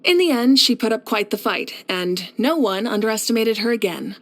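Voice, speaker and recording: A woman voicing a steadfast, caring warrior leader in a video game, speaking in a measured, reflective and assured way. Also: on the high side, lively intonation, fairly bright tone. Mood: amused, fond. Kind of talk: scripted video game character voice lines.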